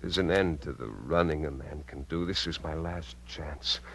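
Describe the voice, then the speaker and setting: Deep-voiced, menacing uncle on the run. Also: male voice, normal speed, vocal fry, a bit bright, exaggerated voice. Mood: weary, pleading. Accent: American. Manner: intense, swinging from coaxing to contemptuous. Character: menacing uncle, bitter, cajoling killer on the run. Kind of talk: 1950s radio drama acting. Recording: vintage radio recording with hum.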